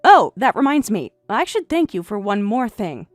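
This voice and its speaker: A woman voicing a calm young wife who is unbothered by her husband's affair, speaking flat, quiet and deadpan in a voiced story drama. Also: mid-range voice, normal speed, no room sound, studio quality, faint music behind, close to an everyday voice.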